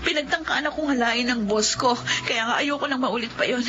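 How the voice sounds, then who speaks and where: A tearful young wife in a radio drama. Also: female voice, a little high, fairly quick, sharp tone, highs cut off, soft background music. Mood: tearful joy. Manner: tearful, emotional outbursts. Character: young wife overjoyed her husband is home. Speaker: narrator of her own story. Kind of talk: Filipino radio drama acting.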